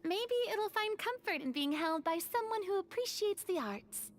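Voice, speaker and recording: A calm, cultured young hunter. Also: female voice, very high voice, moderate pace.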